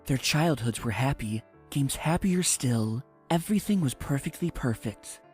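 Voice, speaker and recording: A woman tells a storybook tale as narrator. Her delivery is lively and expressive, with music playing underneath. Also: extremely low pitch, a little slow. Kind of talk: scripted storybook narration in rhyme.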